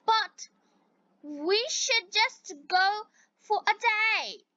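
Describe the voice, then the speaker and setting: Lady doing a worried storybook fish, really high n bright, urgent warning, slow words, bit lo-fi. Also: expressive, dry recording, exaggerated voice. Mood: pleading. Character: worried, urgent blue fish pleading with friends.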